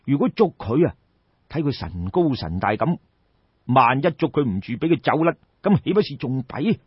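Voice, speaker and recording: A man as a wary, husky soldier. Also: mid-range voice, sing-song, moderate pace, dry recording, highs cut off. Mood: wary, deliberating. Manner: musing, wary. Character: cautious, calculating male soldier on watch.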